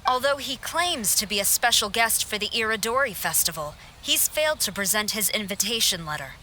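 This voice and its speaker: A woman's voice: medium pitch, expressive, a little fast, bright, forceful tone, a little hiss or hum. A woman playing a stern young military general in a video game, formal and authoritative. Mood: official, explaining. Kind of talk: video game cutscene acting.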